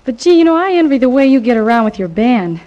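A woman's voice: on the high side, sing-song, fast, muffled recording. A woman who sings on a 1940s radio variety show, trading scripted banter in an old broadcast recording. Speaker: singer and radio show regular.

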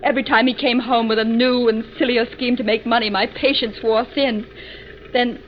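An actress playing an anxious, wounded wife with an earnest, dramatic manner, in old radio melodrama style. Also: female voice, a little high, steady tone, normal speed, lightly acted. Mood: wounded, bitter. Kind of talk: 1940s-50s radio melodrama acting. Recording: muffled, noisy old radio recording.

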